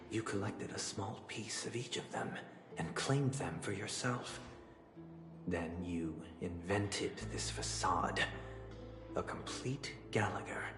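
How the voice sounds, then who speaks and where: An actor playing a cold, vengeful aristocrat in a video game drama, imperious and deliberate, sometimes dropping into a whisper. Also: male voice, deep voice. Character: cold, vengeful aristocratic man, commanding family master. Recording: very echoey hall, music underneath. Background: music.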